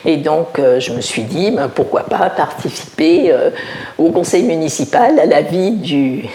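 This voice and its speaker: An older woman from Alsace, a village mayor, answering interview questions in a measured, explanatory way, often pausing to find her words. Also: deep voice, expressive, warm tone, slight background noise. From Alsace, France. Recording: roomy echo.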